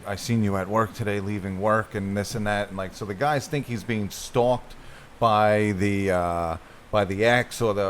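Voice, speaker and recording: A man's voice: lowish voice, slight background noise. A middle-aged man co-hosting a casual podcast, recounting a story in a rambling way full of filler. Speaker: podcast co-host. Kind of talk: casual chat.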